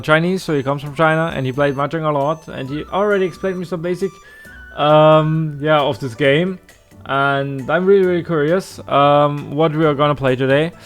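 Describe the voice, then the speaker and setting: A man who is a native German speaker, hosting a casual let's play in a halting way with theatrical announcer flourishes. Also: on the high side, smooth. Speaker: host of a gaming channel.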